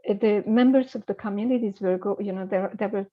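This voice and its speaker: A woman's voice: medium pitch, fairly quick, highs cut off. A woman speaking as a scholar in an interview, hesitant and thoughtful, full of fillers and restarts. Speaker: scholar guest. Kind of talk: conversational interview.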